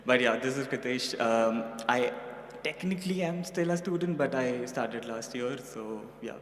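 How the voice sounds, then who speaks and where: A man's voice: medium pitch, moderate pace. A young male student ambassador introducing himself, nervous and self-deprecating. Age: young adult. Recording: echoey hall, faint noise.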